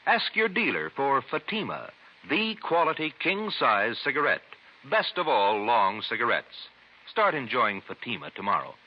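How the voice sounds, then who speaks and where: An American man delivering an emphatic sales pitch as a 1950s radio commercial announcer. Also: huge pitch swings, normal speed, noisy background. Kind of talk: 1950s radio cigarette advertisement. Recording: dull vintage radio recording.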